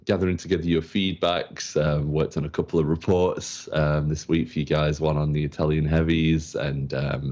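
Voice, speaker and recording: Very deep-voiced Manchester man, chatty. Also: darkish tone. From England. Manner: chatty, laughs often, filler-heavy. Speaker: community manager co-host. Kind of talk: casual livestream chat. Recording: dull lo-fi stream audio.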